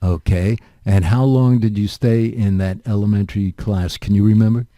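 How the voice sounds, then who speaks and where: A man's voice: lowish voice, steady tone, moderate pace, warm tone, dry recording, clean studio sound. An older man hosting a radio interview, asking chatty, rambling questions full of asides. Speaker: radio show host.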